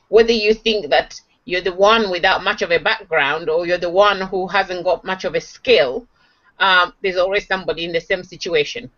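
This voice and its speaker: A woman's voice: lowish voice, a bit bright. A woman speaking enthusiastically on a live video call, encouraging others with many fillers. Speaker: online marketing member. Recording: dull lo-fi video call audio.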